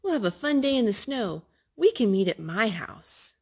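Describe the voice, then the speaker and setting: Soft reassuring storybook raccoon, read by a woman. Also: mid-range voice, big pitch swings, moderate pace, vocal fry, breathy edge, full character voice. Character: calm, hopeful male raccoon. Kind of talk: storybook reading.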